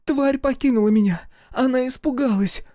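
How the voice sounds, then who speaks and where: A man's voice: normal speed, mellow, no room sound, studio quality. A man voicing a terrified young woman who pleads and wails for help in a horror story. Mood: frantic. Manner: high, pleading and wailing.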